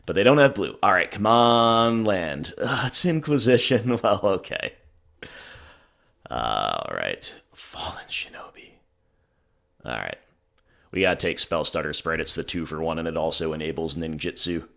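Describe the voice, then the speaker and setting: Hoarse man with a low voice, wry gameplay commentary, talks through his decisions. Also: animated, measured pace, fairly bright tone. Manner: thinking aloud, wry, self-mocking. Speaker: gaming channel host.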